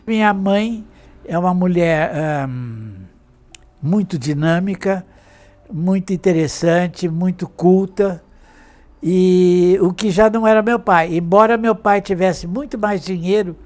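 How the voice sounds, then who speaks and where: A man's voice: sits high, slow pace, a little mellow. An elderly man in his eighties from Brazil, an artist and fashion designer, reminiscing in an interview. He rambles, restarts his sentences and keeps checking in with his listener. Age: 80s. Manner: rambling, with many restarts and tag questions.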